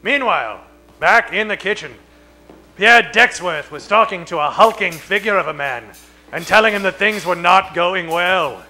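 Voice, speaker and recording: A man's voice: sits high, expressive, moderate pace, bright, forceful tone, faint music behind, exaggerated voice. A man playing a melodramatic narrator of a comedic old-time radio play.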